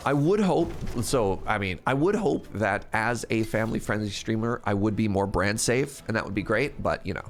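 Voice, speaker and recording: A man's voice: lowish voice, animated, normal speed, few pauses. A man streaming a game, chatting casually and rambling between laughs, sounding sniffly and congested. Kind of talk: casual live-stream chat while gaming.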